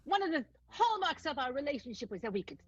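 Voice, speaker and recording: Woman playing an animated, high-voiced high-wire performer. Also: fast, no echo. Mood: guarded, exasperated. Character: guarded, wistful female high-wire performer. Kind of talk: improvised comic radio soap. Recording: slightly dull, lo-fi sound.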